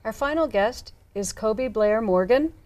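A woman's voice: a little high, lively intonation, unhurried, a little mellow, no echo. A woman hosting a studio interview, asking long questions and agreeing often with short murmurs. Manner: frequent affirming murmurs. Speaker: host.

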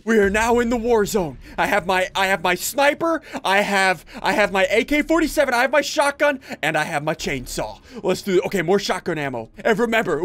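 Excitable young man playing a game. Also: high voice. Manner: excitable, laughs often, talks to viewers. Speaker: gaming YouTuber. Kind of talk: energetic game commentary. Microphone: headset at desk.

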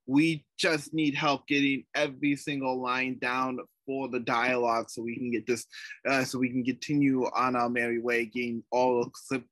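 A man's voice: mid-range voice, level tone, normal speed, fairly bright tone, dry recording, low-quality recording. Man explaining with stumbling restarts. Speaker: let's play project co-organizer. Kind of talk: casual video call explanation.

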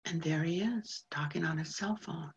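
An actress playing a lonely, lovesick woman who mops floors at a train station, talking bluntly and haltingly with plenty of swearing. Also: low-pitched, breathy edge, lo-fi sound, full character voice. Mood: yearning. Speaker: actor in a monologue. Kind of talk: stage monologue.

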